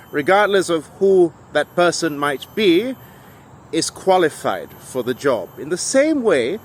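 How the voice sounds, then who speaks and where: Casting: man, higher-than-average voice, deliberate and emphatic video essayist, faint noise behind. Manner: emphatic, deliberate, rhetorical questions. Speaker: video essayist and English tutor. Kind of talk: argumentative monologue to viewers.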